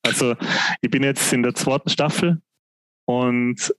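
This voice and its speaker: A man's voice: mid-range voice, fairly even intonation, dry recording, poor audio. A man, co-hosting a podcast, talking hesitantly with many fillers in casual chat. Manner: hesitant, many "ähm" fillers and restarts, slow when musing. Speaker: podcast co-host.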